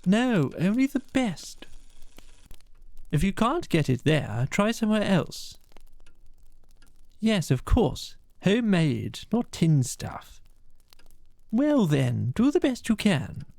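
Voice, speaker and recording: Man playing a brisk, hearty storybook rat. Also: high-pitched, big pitch swings, gravelly, darkish tone, no room sound, pitched above the performer's own voice, full character voice. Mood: muttering, insistent. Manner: brisk, commanding. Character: hearty, masterful rat, bossy and kindly host.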